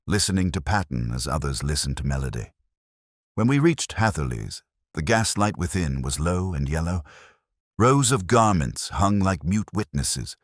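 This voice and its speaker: Extremely deep husky male mystery narrator. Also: no room sound, clean studio sound. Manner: literary, measured, atmospheric. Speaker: audiobook narrator. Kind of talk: scripted dramatic mystery narration.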